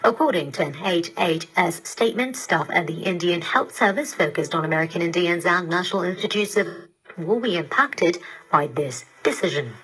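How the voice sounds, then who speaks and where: A woman presenting scripted radio news in a formal, measured manner, heard over a digital shortwave broadcast. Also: lowish voice, lively intonation, a bit bright, husky, low-quality recording.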